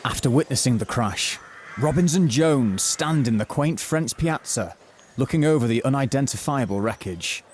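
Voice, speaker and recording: A man narrating a mock-thriller audio drama from a script, telling it in a florid, deadpan way. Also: medium pitch, normal speed, slight background noise, natural voice.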